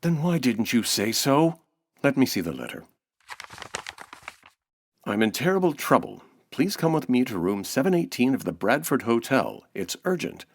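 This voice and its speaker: An actor playing a dry-witted, brisk male boss in an old-time radio detective comedy. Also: mid-range voice, sing-song, no echo. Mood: businesslike.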